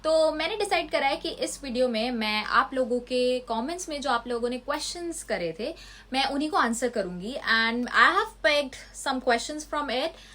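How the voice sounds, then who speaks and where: A woman's voice: sits high, expressive, moderate pace, a bit bright. A young woman from India talking casually to her channel, switching between Hindi and English as she explains and answers questions. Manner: mixes Hindi and English, explanatory, slightly defensive. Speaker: student vlogger. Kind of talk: casual vlog Q&A.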